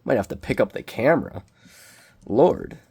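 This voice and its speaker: A young man with a cold, sniffling as he reviews a collectible figure in casual, self-deprecating, excitable rambling. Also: on the low side, moderate pace, creaky, slightly rough. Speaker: toy reviewer. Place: small bedroom.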